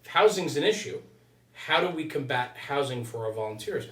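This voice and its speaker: A man's voice: mid-range voice, expressive, normal speed, a bit bright. A man explaining his opinions conversationally, emphatically punctuating his points with "right?". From United States. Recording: roomy echo, distant from mic.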